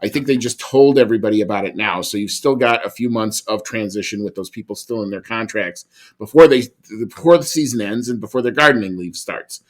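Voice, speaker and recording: A man's voice: lowish voice, a little fast. A man co-hosting a podcast in casual chat, talking in a conversational way with frequent restarts and asides. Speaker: podcast co-host.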